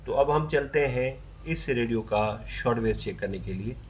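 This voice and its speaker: A man's voice: mid-range voice, normal speed, slight background noise. A man giving a casual, conversational product review as a hobbyist reviewer. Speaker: vintage radio reviewer.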